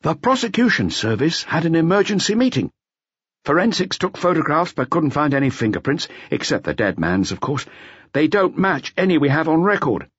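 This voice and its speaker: Man voicing a businesslike young detective, pitched up. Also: big pitch swings, fairly bright tone, breathy edge, no room sound, muffled recording, full character voice. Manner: businesslike, reporting briskly. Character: solid thirty-year-old male detective, dutiful junior.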